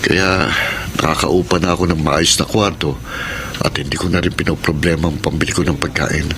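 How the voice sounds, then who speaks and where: A man's voice: extremely low pitch, steady tone, brisk, noisy background. An actor playing an earnest, distressed young male laborer in an emotional, pleading radio drama style. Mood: relieved. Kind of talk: Filipino radio drama acting.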